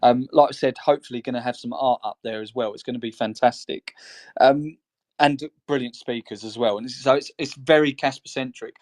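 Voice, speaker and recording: A man's voice: mid-range voice, fairly even intonation, brisk, darkish tone. Chatty rambling male podcast co-host. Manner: rambling, chatty, laughs between phrases. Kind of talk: casual podcast chat.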